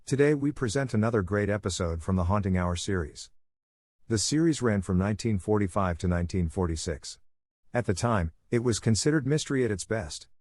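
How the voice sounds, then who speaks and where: Deep-voiced man reading a podcast introduction. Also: darkish tone, no echo, clean studio sound. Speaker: podcast host. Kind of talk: scripted introduction.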